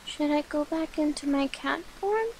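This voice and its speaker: A woman playing an affectionate, sleepy young cat-girl familiar in a soft, coaxing, playful ASMR roleplay. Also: high-pitched, normal speed, a little hiss or hum. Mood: affectionate, tender.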